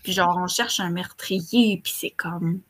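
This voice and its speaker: A young woman with a bright, forward voice and a Québécois French accent. She talks casually as a panelist, in a small room at home, through a computer mic on a video call. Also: fairly low pitch, measured pace. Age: young adult. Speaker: talk show panelist. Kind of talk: casual chat.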